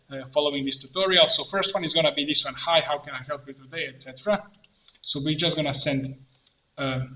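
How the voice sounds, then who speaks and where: A man with a bright, slightly high voice talks through a software tutorial. He thinks aloud in halting, step-by-step phrases. The sound is echoey with faint muffling and some noise, with keyboard typing and clicks behind him. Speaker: tutorial presenter and coach. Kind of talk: informal screen-share tutorial, thinking aloud. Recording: roomy echo, muffled band, background noise. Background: keyboard typing and mouse clicks.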